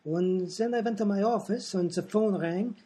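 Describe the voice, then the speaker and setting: A man's voice: sits high, normal speed, warm tone, breathy edge. A man playing a dim, placid, forgetful professor in a comedy radio play, with a muddled, hesitant, guileless manner. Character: dim, amnesiac male professor, placid and literal-minded.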